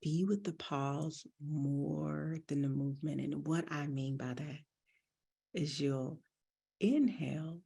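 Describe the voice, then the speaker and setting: A woman guides a breathing meditation in a mellow, husky voice with some fry. She speaks gently and deliberately, giving soothing instructions at an unhurried pace. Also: low voice. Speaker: meditation teacher.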